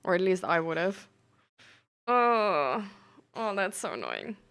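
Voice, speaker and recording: A young woman chatting casually on a live stream, full of fillers and quick reactions to chat. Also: lowish voice, sing-song, fairly bright tone, clean tone. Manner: chatty, filler-heavy, reacts aloud to chat with surprise and laughter. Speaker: streamer and peer support coach.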